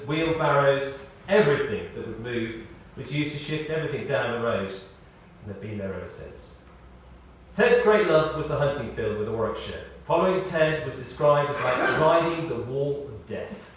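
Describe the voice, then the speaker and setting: Echoing church acoustic, distant male voice, mid pitch, measured eulogy delivery, dry humour. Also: fairly bright tone. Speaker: eulogist at a memorial service. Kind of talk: prepared spoken tribute, read aloud. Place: speaking in a large church. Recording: echoey church, distant mic, faint noise.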